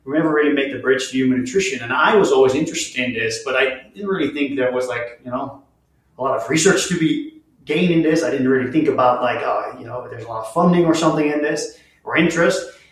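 A man's voice: medium pitch, fast. A man from the Netherlands talking conversationally as a guest expert in an interview. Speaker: guest, nutrition scientist. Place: indoor room, in person with the hosts. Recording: very echoey, roomy sound.

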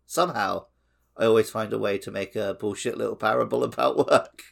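Low-voiced man chatting, slightly gravelly. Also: brisk. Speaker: podcast co-host. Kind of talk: casual chat.

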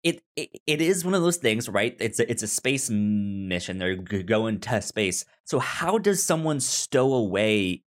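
A man co-hosting a casual film podcast, speaking haltingly and often stuttering over repeated words. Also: lowish voice, big pitch swings. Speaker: film review podcast co-host. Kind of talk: casual chat.

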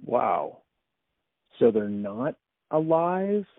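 A man's voice: medium pitch, very animated, extremely slow, creaky, husky, dry recording, narrow, tinny audio. A man asking short, curious questions as the interviewer.

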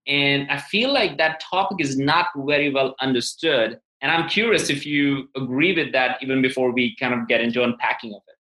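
Bright-voiced male host in an echoey room. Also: medium pitch, moderate pace, hardly any pauses. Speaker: podcast host. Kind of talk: casual interview chat. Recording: echoey, roomy sound.

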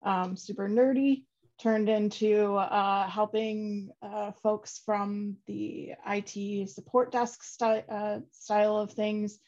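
A woman's voice: medium pitch, level tone, slow, a little mellow. Upbeat chatty woman, dull sound. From United States. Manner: chatty, upbeat, many fillers. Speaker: podcast guest. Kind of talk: casual interview talk.

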